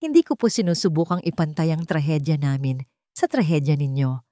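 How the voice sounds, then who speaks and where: A woman voicing a humbled, remorseful young widower who speaks haltingly and politely, his voice hoarse. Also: a little high, expressive, warm tone, no echo, studio quality, lightly acted. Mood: pleading.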